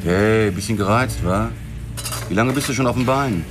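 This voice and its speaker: A man's voice: lowish voice, normal speed, natural voice. An actor playing a laid-back bar-owner buddy in a German radio drama, a man talking in casual, teasing slang. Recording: music behind the voice.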